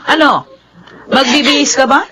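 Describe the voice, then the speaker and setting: A woman's voice: high voice, fairly even intonation, moderate pace, sharp tone, slight background noise. A Filipino woman playing a stern, bossy mother who scolds sharply in a radio drama. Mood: angry scolding.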